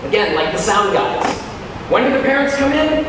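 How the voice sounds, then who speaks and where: High-pitched man speaking in a very echoey hall. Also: sing-song. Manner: emphatic, dramatic, drawn-out key words. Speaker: keynote speaker, teacher. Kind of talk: motivational keynote speech to teachers. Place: large hall at a teachers' conference. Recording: very echoey hall, distant, noisy.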